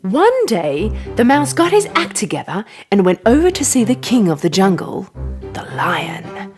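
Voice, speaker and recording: Highly animated woman storyteller. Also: unhurried, soft background music. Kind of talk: animated scripted children's narration.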